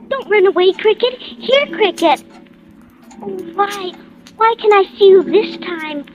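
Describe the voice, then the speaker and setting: A woman's voice: very high voice, exaggerated voice. A woman playing a pleading, dreamy little girl with a childlike, plaintive delivery in an old-time radio drama. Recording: dull old radio recording, music behind.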